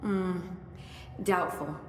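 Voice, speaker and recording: An actress playing a brisk, curious research scientist with dry wit in a video game, thinking aloud analytically. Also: female voice, fairly low pitch, steady tone. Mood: curious, musing. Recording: echoey hall with faint noise.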